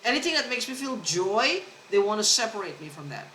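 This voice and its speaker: A woman talking to camera in a rambling personal monologue, halting, restarting and repeating words, earnest and insistent. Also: mid-range voice, sing-song, pushed and bright, slight background noise. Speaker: vlogger. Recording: echoey room recording.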